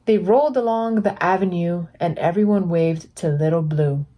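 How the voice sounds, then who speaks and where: Woman reading a children's picture book expressively. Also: lowish voice. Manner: animated, deliberate emphasis on sound words. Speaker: volunteer children's book reader.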